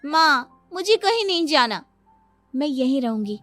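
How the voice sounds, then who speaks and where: Woman voicing a high-pitched, devoted young daughter. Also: sing-song, measured pace, fairly bright tone, soft background music, exaggerated voice. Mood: affectionate, pleading. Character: devoted young daughter or daughter-in-law, sweet and dutiful. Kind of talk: cartoon moral-story acting.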